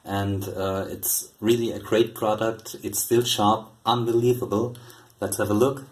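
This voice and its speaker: A man from Germany giving a friendly, casual video testimonial with short pauses. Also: fairly low pitch, level tone.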